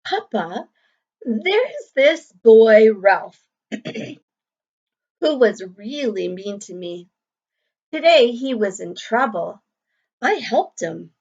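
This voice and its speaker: A woman's voice: a little high, sing-song, extremely slow, long pauses, darkish tone, dull audio, heavily acted. A woman reading the part of a young schoolgirl in a storybook, hurt but earnest and brave, speaking with careful emphasis.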